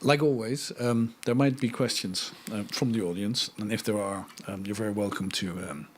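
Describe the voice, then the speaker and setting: Low-voiced male moderator, polite and hesitant. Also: normal speed, a little hiss or hum. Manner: polite, hesitant, with many fillers. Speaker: host, moderator. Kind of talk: moderating a live public talk.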